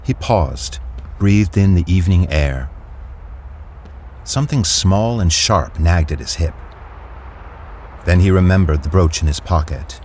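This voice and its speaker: A man narrating an audio drama in a measured, descriptive way. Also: deep voice, animated, a little mellow, a little hiss or hum. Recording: clear music bed underneath.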